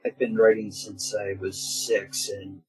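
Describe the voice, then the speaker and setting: A man's voice: fairly low pitch, little pitch movement, measured pace, soft background music, poor audio. A man of thirty chatting casually as a co-host on a talk about a shared hobby. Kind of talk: casual chat.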